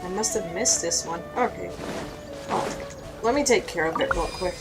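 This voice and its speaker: A woman's voice: fairly low pitch, normal speed, loud music behind the voice. A woman giving casual gameplay commentary while she plays. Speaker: gamer.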